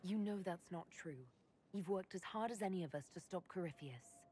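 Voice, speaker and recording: A woman playing a composed, level-headed leader in a fantasy video game, speaking in a measured, direct way. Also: on the low side, expressive, warm tone, slight background noise, lightly acted. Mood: frank, calm. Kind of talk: dramatic video game voice acting.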